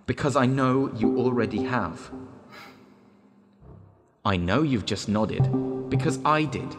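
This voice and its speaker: An actor playing a disembodied recorded guide in a one-act drama, speaking in a measured, deliberate, persuasive way. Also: male voice, medium pitch, expressive. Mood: calmly persuasive. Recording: long hall reverb, loud music behind.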